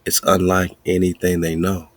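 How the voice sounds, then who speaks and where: A man hosting a podcast, riffing excitedly in casual commentary with wordplay and frequent laughter. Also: low-pitched, normal speed, no echo. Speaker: podcast host.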